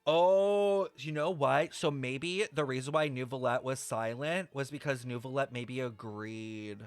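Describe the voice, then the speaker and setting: A young man giving casual reaction commentary on a game, expressive and slangy, thinking aloud. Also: mid-range voice, measured pace, clear, resonant tone, no room sound, soft background music.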